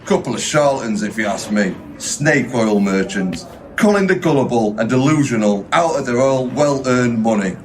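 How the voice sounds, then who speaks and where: A man's voice: medium pitch, animated, moderate pace, close to an everyday voice. Guy acting a cynical cop doing an interview, sardonic and formal, audio play, bit of noise behind. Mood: cynical, scornful. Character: cynical, blunt male police detective interviewing a witness.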